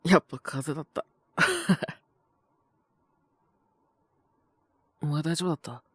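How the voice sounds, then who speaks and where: A man voicing a possessive, teasing young half-human wolf man with a cold, in an intimate romantic audio roleplay, breathy and rough-spoken. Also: on the high side, wildly swooping pitch, fairly quick, a bit bright, no echo, exaggerated voice. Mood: languid, tender. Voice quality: husky, breathy, often near a whisper. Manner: languid, rough casual speech, teasing. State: sick with a cold, coughing (acted).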